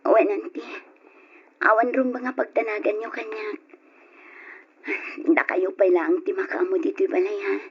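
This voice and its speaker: A woman's voice: mid-range voice, fairly even intonation, a little slow, pauses often, breathy edge. A woman acting a grieving young woman in an old radio drama, with a tearful, melodramatic delivery. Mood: subdued, sorrowful. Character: grieving young woman who lost both parents. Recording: dull lo-fi radio drama with music.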